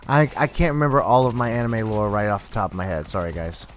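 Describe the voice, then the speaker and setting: A man in his thirties chatting casually with friends while playing a game, rambling and reacting loudly over a headset mic. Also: fairly low pitch, lively intonation, fast talker, slight background noise. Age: 30s. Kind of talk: casual gaming banter.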